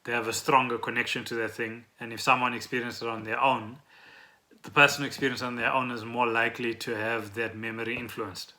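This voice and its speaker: A man with a South African accent and a very bright, forward voice. He talks casually and off the cuff, as a podcast co-host thinking aloud. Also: mid-range voice, level tone, fairly quick. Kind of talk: casual unscripted podcast chat.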